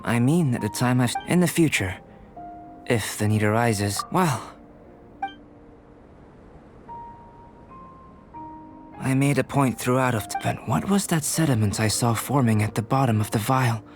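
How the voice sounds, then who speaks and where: A man playing a calm, scholarly, enigmatic young alchemist in a video game, speaking in a measured, formal way. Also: mid-range voice, over music, lightly acted. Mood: pensive. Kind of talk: video game character acting.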